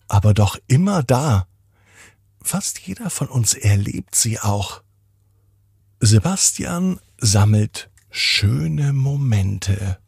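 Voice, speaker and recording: A man hosting a children's podcast, narrating bedtime stories in a gentle, deliberate manner and addressing children directly. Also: medium pitch, gravelly, slightly rough, dry recording, studio quality.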